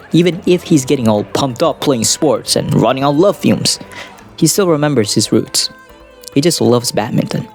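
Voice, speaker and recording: Lower-pitched male anime commentator. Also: darkish tone. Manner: rambling, self-deprecating, crude joking. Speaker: anime video essayist. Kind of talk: casual, semi-scripted commentary. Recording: music behind the voice. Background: background music.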